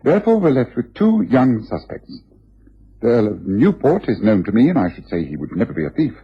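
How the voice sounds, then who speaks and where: An actor playing a shrewd, self-assured gentleman detective with an upper-class English accent, precise and theatrical, in a radio drama. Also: medium pitch, very animated, fairly quick. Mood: musing, deductive. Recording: muffled, rough old radio recording.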